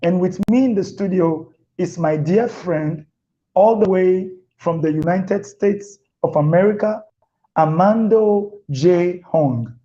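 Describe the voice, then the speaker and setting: A man hosting a live motivational talk show, speaking slowly and deliberately. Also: sits high, warm tone, dull audio. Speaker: host.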